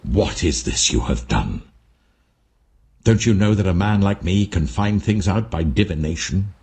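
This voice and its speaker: A man voicing a stern, authoritative governor with measured delivery in a dramatic scripture reading. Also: low-pitched, expressive, a little mellow. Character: commanding, stern male governor of ancient Egypt.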